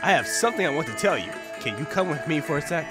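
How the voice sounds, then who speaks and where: A cheerful, pushy teenage boy fortune teller, read by a gamer coaxes casually like a salesman. His voice swings up and down in a lively visual novel style, over game music. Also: medium pitch, fast talker, pitched below the performer's own voice, natural voice. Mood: coaxing, upbeat.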